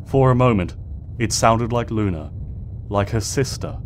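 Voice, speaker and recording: A man's voice: fairly low pitch, expressive, a little slow, slight background noise. A man reading fiction aloud as a narrator, in a dramatic scripted storytelling style. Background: ambient sound effects.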